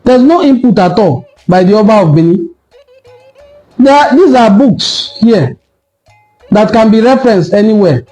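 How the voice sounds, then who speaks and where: Nigerian guy ranting on camera, high pitched but mellow, soft music behind. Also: animated, measured pace, long pauses. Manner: argumentative, rhetorical questions. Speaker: cultural commentator. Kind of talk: impassioned online talk.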